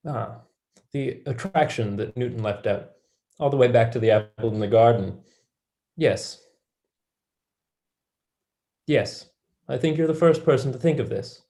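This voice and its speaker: A man's voice: mid-range voice, a little slow, long pauses, warm tone, natural voice. A young man, a student actor, playing a wry, dry-witted mathematician in a staged play reading. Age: young adult.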